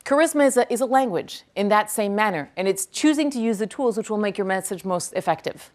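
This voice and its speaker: A woman whose first language is French, speaking at a university lecture hall, energetically repeating and answering questions from the audience. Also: mid-range voice. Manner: energetic, quick to praise, repeats each question aloud.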